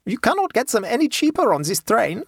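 A narrator voicing a stolid, shrewd peasant woman in a storybook, calm, devout and matter-of-fact. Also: mid-range voice, creaky, no room sound, clean studio sound, voice pushed up. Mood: calm haggling. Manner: tranquil, unhurried, matter-of-fact.

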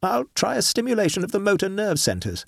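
A man voicing an eager, ruthless male scientist who talks in an urgent, excited way, raising his voice higher than his narration. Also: fairly quick, creaky, no echo, clean studio sound, heavily acted.

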